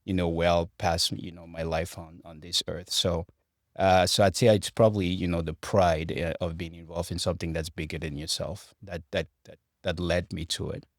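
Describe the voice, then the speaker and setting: A man in his forties from Cameroon, a construction director answering interview questions in a measured, reflective way with frequent restarts. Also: low-pitched, flat delivery, no echo, clean studio sound. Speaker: construction director, podcast guest. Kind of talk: interview conversation.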